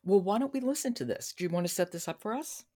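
A woman's voice: mid-range voice, animated, fast, dark tone, slightly rough. A woman hosting a book discussion, talking conversationally and sharing her opinions. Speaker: podcast host.

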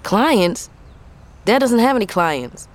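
An actress playing a stressed, sharp-tongued, weary female long-haul trucker in a naturalistic audio drama. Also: on the high side, very animated, slow pace. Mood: puzzled. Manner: blunt, exasperated.

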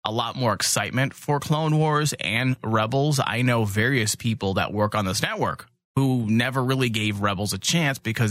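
A man's voice: medium pitch, a bit bright, no room sound, studio quality. A man co-hosting a fan podcast in casual chat, emphatic and joking in the way he talks. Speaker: podcast co-host.

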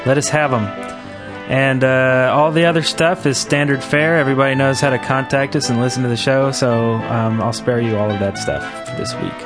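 Casting: male podcast host, average pitch, informal shout-out chatter over low background music. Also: a little fast. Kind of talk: casual chat with listener shout-outs.

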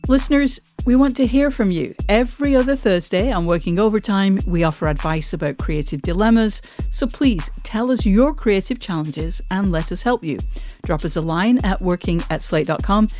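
A woman's voice: medium pitch, lively intonation, normal speed, a little mellow. A woman reading a scripted mid-show podcast announcement, addressing the listeners directly. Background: music.

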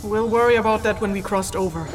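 A woman's voice: medium pitch, fast talker, over music, natural voice. A woman playing an impatient, bossy scholarly traveller in a fantasy audio drama. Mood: weary, businesslike. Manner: exasperated, scolding, bossy.

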